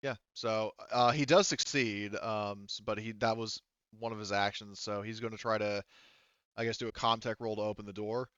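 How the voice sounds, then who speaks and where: A man with a lowish, clean voice runs a tabletop roleplaying game. He talks rules through casually and often corrects himself. The sound is close and dry with a slightly muffled top. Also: steady tone, normal speed, a bit bright, clear, resonant tone, dry recording. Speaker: game master.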